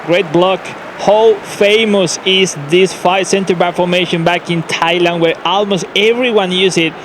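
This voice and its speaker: Male esports analyst, high-pitched, short clipped phrases, heavy crowd and noise behind. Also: steady tone, unhurried. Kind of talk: analytical match commentary. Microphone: headset. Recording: loud crowd and background noise.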